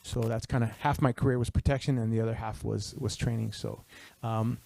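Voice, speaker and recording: A man's voice: mid-range voice, fairly even intonation, fairly quick, creaky, dark tone. A man from Texas in his fifties, a retired Secret Service official speaking on a panel with a handheld mic, conversational and full of restarts. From El Paso, Texas. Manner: conversational, many restarts and fillers. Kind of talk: panel discussion, personal career story. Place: auditorium stage before an audience. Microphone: handheld microphone.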